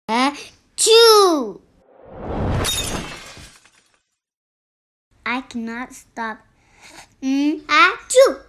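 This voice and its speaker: A reader voicing an anxious elephant in a storybook, dramatic, halting and exclamatory. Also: medium pitch, fairly bright tone, exaggerated voice. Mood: flustered.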